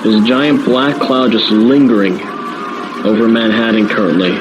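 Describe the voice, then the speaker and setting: A man's voice: on the low side, normal speed, close to an everyday voice. A man playing a shaken news reporter giving earnest, descriptive live commentary on a disaster in an audio drama. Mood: grave, measured. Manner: descriptive, earnest, increasingly dismayed. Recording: noisy location with loud background ambience.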